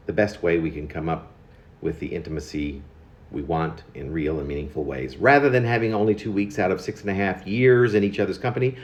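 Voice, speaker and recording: A man in his fifties reading his own confessional poem aloud, in a halting way with pauses mid-phrase and stressed words. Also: fairly low pitch. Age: 50s. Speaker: poet. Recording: dull lo-fi recording in echoey room.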